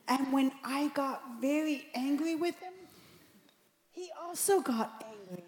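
Mischievous small boy, high and pleading, slow, in a roomy echo. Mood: innocent. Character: mischievous little boy god, sweetly pleading. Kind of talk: storytelling.

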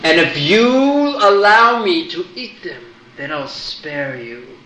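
Man doing a boastful man-eating demon, slow menacing threats, bright tone, echoey room. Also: a little high, lively intonation, a little hiss or hum, voice pushed up, heavily acted.

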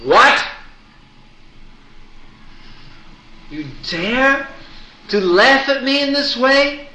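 Man doing a boastful man-eating demon, slow menacing threats, bright tone, echoey room. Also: a little high, pitched above the performer's own voice. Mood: indignant.

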